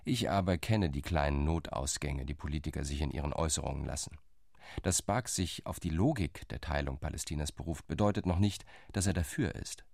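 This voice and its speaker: A man's voice: very deep voice, fairly quick, gravelly, a little mellow, dry recording, studio quality, exaggerated voice. An actor playing a shrewd, ironic male political envoy in a radio play, reporting his lobbying rounds.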